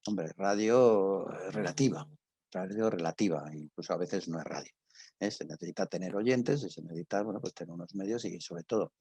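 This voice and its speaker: An older man with an accent from Spain, giving an informal talk and answering questions, halting and emphatic, often repeating himself, with a husky voice. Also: sits low, mellow, no room sound. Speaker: radio drama professor and guest speaker.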